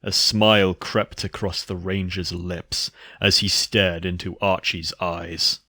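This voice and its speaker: A man's voice: sits low, little pitch movement, slow, sharp tone, no room sound, studio quality. A man giving a scripted narration of a horror story.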